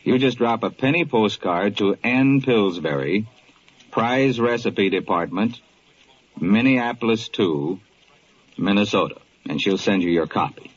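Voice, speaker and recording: A man's voice: lowish voice, unhurried, slightly rough. A man with an American accent reading a sponsor message to listeners in mid-century radio style. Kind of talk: 1940s-50s radio commercial, talking directly to listeners. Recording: muffled, noisy vintage radio recording.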